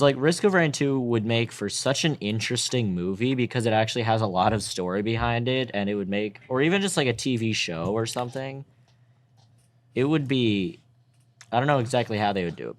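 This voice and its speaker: A young man chatting casually with friends as a podcast co-host, playful and enthusiastic in an animated way. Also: on the low side, fairly quick. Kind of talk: casual group chat.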